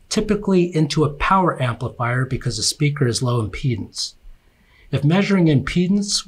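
A man reading scripted instructional narration for a technical slide presentation, explaining in measured, separate phrases. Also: medium pitch, slightly rough.

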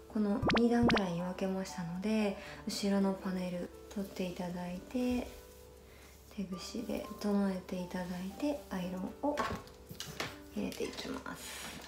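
A young woman hairstylist walks through a hair tutorial in a polite, explanatory tone, often talking while she works the iron. Her voice rings in a roomy indoor space, and music plays clearly behind her. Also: mid-range voice. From Niigata, Japan. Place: small bright room indoors.

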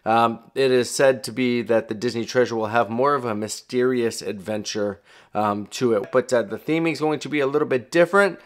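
A man's voice: medium pitch, fairly quick. Chatty middle-aged man hosting a vlog. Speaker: travel vlogger and host. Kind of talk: casual explainer talk to viewers.

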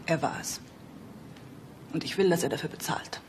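An actress playing a bitter, wounded wealthy wife in a radio play, with a creaky voice. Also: female voice, deep voice, moderate pace, background noise, natural voice. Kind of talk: German radio play acting.

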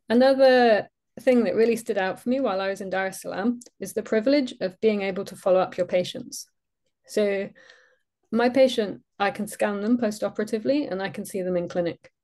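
A woman's voice: mid-range voice, darkish tone. Reflective British woman surgeon presenting. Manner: measured, reflective, with frequent ums. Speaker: neurosurgeon. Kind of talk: webinar presentation. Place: indoor room, video call.

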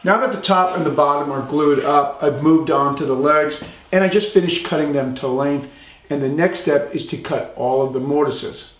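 A man in his thirties calmly walking through the steps of a woodworking project as a channel host, recorded in his workshop. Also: a little high, moderate pace, a little hiss or hum. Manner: calm step-by-step explaining. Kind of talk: instructional workshop narration. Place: woodworking shop, indoors. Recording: echoey workshop room.

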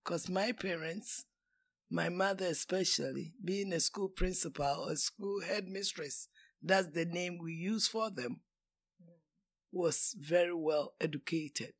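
Slow-talking older Ghanaian woman. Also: fairly low pitch, husky. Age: 60s. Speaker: guest. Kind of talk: casual interview.

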